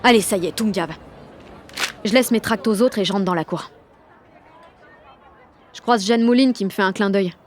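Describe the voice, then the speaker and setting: A woman's voice: mid-range voice, moderate pace, long pauses, a faint crowd, close to an everyday voice. An actress playing a rebellious, emotional sixteen-year-old girl who narrates an audio drama in blunt, sarcastic slang. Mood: sardonic. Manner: slangy, blunt teenage delivery. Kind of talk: first-person audio fiction narration.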